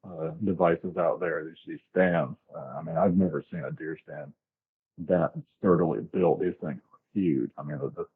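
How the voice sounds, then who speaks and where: An American man from the southern US, casually telling a first-hand story as an interview guest, descriptive and a little halting. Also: extremely low pitch, fairly even intonation, breathy edge. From southern United States, grew up in Florida. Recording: tinny, band-limited remote call.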